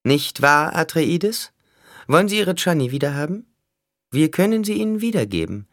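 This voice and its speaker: A man's voice: a little high, very animated, normal speed, a bit bright, no room sound, studio quality, voice pushed up. A man voicing a cunning shapeshifter villain in an audiobook, smug and taunting as he negotiates with menace. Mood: bargaining. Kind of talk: audiobook character acting.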